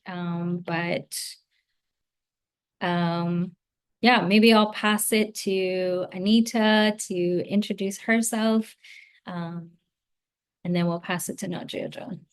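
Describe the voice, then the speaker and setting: A woman from Afghanistan opens an online gathering as host, speaking at an unhurried pace. Her talk is thoughtful and full of soft pauses and ums, as heard over a video call. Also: mid-range voice. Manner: slow, thoughtful, many ums. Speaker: host and circle coordinator. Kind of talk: online meeting introduction.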